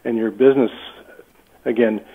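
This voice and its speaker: A man's voice: medium pitch, fairly even intonation, moderate pace, husky. A man on a phone line, questioning firmly and incredulously as a homeowner in conversation. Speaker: homeowner receiving a prank call. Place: on a phone call. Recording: tinny narrowband phone line.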